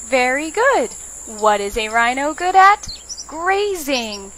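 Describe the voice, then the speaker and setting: A woman's voice: high-pitched, very animated, slow pace, fairly bright tone, slight background noise. A woman narrating a children's storytime, putting simple questions and instructions to young listeners. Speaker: children's librarian reading stories.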